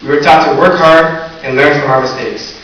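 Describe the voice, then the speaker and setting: A teenage young man giving a graduation speech, earnest with light humor. His voice sits a little high for a man. It is recorded from far back in a very echoey hall, with a faint hiss. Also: moderate pace, fairly bright tone. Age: teens. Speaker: valedictorian. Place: large echoey hall or gym. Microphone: recorded from far off in the audience.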